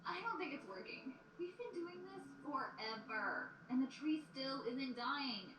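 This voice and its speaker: A high, bouncing female voice from an actress plays a cocky, complaining magician pony. The cartoonish audio drama delivery sounds echoey and distant, with clear noise. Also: measured pace. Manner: complaining, flippant, excitable. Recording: echoey, distant, noisy playback.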